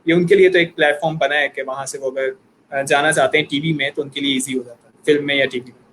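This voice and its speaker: A man's voice: on the high side, steady tone, fast talker, fairly bright tone, slight background noise, poor audio. A man with a Pakistani Urdu accent, a guest on an online discussion, explaining his points with examples over a video call. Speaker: guest, YouTuber and anchor.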